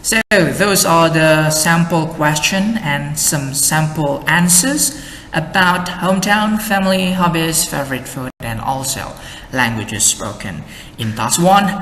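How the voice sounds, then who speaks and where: A young adult man with an Indonesian accent, an English exam tutor explaining slowly and carefully with model answers. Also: a little high, lively intonation, a bit bright, slight background noise. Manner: slow, careful explaining with many "and then" links. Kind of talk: lesson with sample answers. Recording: echoey room with faint ambience.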